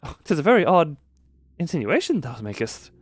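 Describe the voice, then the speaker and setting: A man voicing an excitable female knight from a game, speaking in theatrical, archaic, grandiose language. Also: a little high, brisk, pitched above the performer's own voice. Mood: puzzled.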